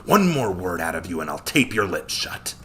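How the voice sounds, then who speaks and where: A stern man leading others through dangerous wilds in a fantasy audiobook, acted by the narrator. He speaks with curt command and a forceful, bright edge, sounding serious and in charge. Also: low voice, very animated, moderate pace, a little hiss or hum. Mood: angry snapping. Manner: curt, snarling orders. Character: stern, commanding male wilderness guide, curt and reverent.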